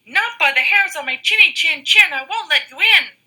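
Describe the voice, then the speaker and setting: A woman voicing a small, defiant little pig from a children's story, squeaking out a refusal. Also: expressive, moderate pace, sharp tone, full character voice.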